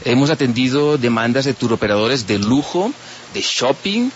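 Catalan guy, tourism delegate, calm and informative, lots of little pauses, bit muffled like radio. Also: medium pitch, slight background noise. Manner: measured, informative, with frequent hesitations. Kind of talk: radio interview answers.

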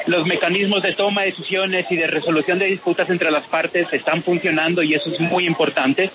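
A man from Spain with a Spanish accent, giving a measured, analytical radio interview and often pausing on "eh". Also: fairly high pitch, noisy background. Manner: measured and analytical, with frequent "eh" fillers and restarts. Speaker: peace process analyst.

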